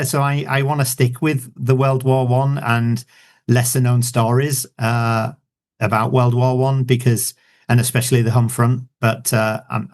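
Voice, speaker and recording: A man with a Yorkshire accent, an author as a guest, talking in a rambling, casual way with many fillers and restarts. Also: mid-range voice. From Yorkshire, England. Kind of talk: casual interview chat.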